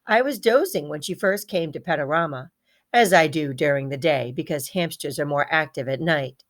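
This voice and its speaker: Woman reading as a proud little boy hamster telling his storybook tale, dramatic, lowish voice. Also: normal speed, natural voice. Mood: wistful, eager. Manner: dramatic, repeats words for emphasis. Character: small male pet hamster, bright, proud, heartbroken storyteller. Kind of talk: children's storybook read-aloud in first person.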